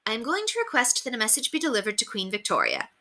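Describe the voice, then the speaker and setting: A woman's voice: on the high side, wildly swooping pitch, fast talker, bright, forceful tone, pitched above the performer's own voice, full character voice. Woman playing a prim, earnest young governess. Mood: determined. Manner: formal, proper, earnest phrasing.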